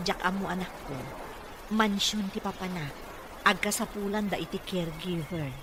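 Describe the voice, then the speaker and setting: A woman's voice: on the low side, moderate pace, noisy background, close to an everyday voice. A woman playing a humble, earnest young single mother in a radio drama, speaking politely. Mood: gentle, explaining.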